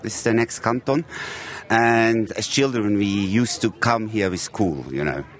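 A man from Switzerland telling a personal story in an outdoor street interview, chatty and warm. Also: on the low side, unhurried. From Uri, Switzerland. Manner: chatty storyteller, says "you know". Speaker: member of the public. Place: outdoors at a gathering.

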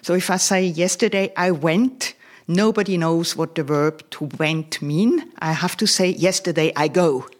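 Low-voiced woman chatting frankly. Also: unhurried. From Switzerland. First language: Swiss German. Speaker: interview guest. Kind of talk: radio interview.

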